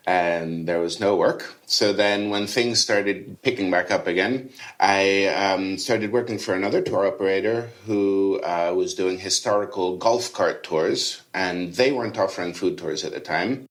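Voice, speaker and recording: A middle-aged man raised in Rome, a chef and food tour guide, chatting enthusiastically in a podcast interview. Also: low-pitched. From Rome, Italy. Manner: chatty, enthusiastic, casual fillers.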